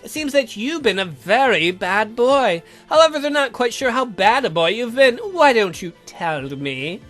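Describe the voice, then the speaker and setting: A man voicing a dry, sardonic vampire count who speaks deliberately, with mock-polite menace, for a visual novel game. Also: very high voice, sing-song, fairly bright tone, soft background music, voice pushed up, heavily acted. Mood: menacing.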